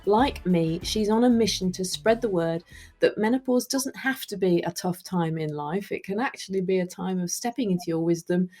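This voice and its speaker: A woman's voice: medium pitch, moderate pace, a little mellow, faint music behind. Upbeat woman podcast host. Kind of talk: scripted intro, then interview chat.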